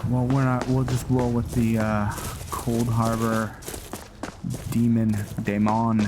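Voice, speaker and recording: A man hosting a roleplay game playthrough, giving casual, low-key commentary as he thinks aloud. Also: little pitch movement, slow pace, background noise. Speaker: gaming video host. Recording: loud game music behind the voice. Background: video game music.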